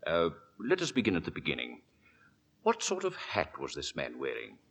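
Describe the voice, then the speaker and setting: A man's voice: sits low, huge pitch swings, fairly bright tone, breathy edge, heavily acted. A man playing a courteous, probing Victorian coroner in an old radio drama, formal and official, with hesitations. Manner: formal, measured, hesitant with uhs.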